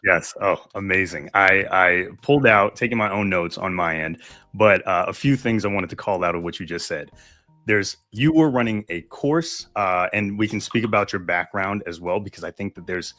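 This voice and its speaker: A man hosting an online event, summing up a guest's points with steady affirmations and many fillers over a video call. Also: low-pitched, normal speed, no room sound, soft background music. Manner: summarizing, affirming, filler-heavy. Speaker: event host. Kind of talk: interview hosting.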